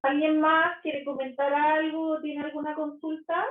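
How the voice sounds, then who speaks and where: A woman's voice: high voice, little pitch movement, unhurried. A woman moderating a video-call talk, asking for questions and thanking everyone politely. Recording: tinny echoey call audio.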